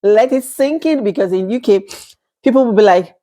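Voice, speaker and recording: A woman's voice: fairly high pitch, normal speed, mellow. A woman in her thirties from Nigeria with a Nigerian accent, talking casually to camera, chatty, laughing often and acting out little quoted exchanges. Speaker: vlogger.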